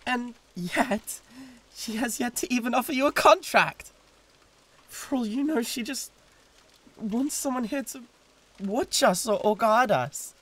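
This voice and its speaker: A man playing a possessive, flustered demon with a British accent and a breathy voice, halting and repeating himself in a flirtatious audio roleplay. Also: very high-pitched, lively intonation, pushed and bright, heavily acted. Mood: jealous, bitter. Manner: halting, repeating words with wounded pride. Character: jealous, possessive male demon, flustered and pleading.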